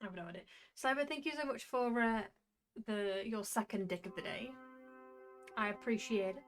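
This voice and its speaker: A woman's voice: medium pitch, moderate pace. A woman streaming a visual novel, reading aloud and chatting with playful asides. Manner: acts out dialogue while reading, with playful asides. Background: music.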